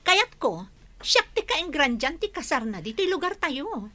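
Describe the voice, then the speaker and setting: Casting: woman as demanding young Ilocano bride, radio play, high-pitched and very animated. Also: unhurried, full character voice. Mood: insistent. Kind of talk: Ilocano radio drama acting.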